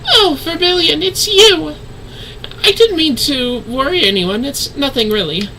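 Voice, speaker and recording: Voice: high-pitched, a little slow, bright, forceful tone, a little hiss or hum, pitched above the performer's own voice, heavily acted, rough recording. A woman voicing an eager, earnest tiny young receptionist from a fantasy game, with formal yet bubbly delivery. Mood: cheerful, relieved.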